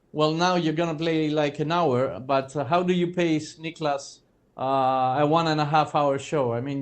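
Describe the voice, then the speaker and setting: A man with a somewhat high speaking voice puts eager questions together piece by piece, filling the gaps with hesitations. He sounds like a fellow musician talking shop. It is heard over a video call. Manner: eager, filler-heavy questions. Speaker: interviewer and fellow singer. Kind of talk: casual interview chat.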